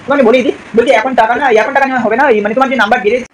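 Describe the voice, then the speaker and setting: A man's voice: very high voice, fairly even intonation, very fast, a crowd in the background. A young man making an improvised prank phone call, speaking hesitantly and repeating himself. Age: young adult. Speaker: prank caller. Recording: distant mic, faint background noise.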